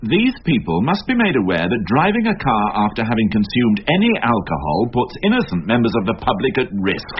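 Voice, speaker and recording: A senior police inspector in a radio crime drama. His delivery swings widely in pitch, dry and opinionated with an irritable edge of authority. The recording is dull and a bit noisy. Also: huge pitch swings, brisk, few pauses. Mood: indignant.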